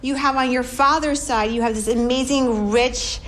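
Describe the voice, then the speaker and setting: A woman hosting a podcast interview, affirming her guest and reflecting aloud in a relaxed, thoughtful way. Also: high-pitched, moderate pace. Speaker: podcast host. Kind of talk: casual interview chat.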